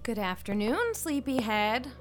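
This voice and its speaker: An actress playing a sharp-tongued middle-aged mother who talks in a brisk, teasing way. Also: on the high side, sing-song, music in the background.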